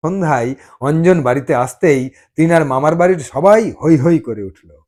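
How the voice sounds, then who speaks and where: A man reading a dramatized audio love story as storyteller, expressive and given to dramatic pauses. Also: fairly high pitch.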